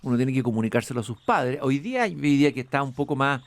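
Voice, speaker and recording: A man's voice: mid-range voice, lively intonation, quick pace, no echo. Hesitant man interviewing in a garden. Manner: hesitant, repeats words, laughs easily. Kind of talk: conversational interview. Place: outdoor garden.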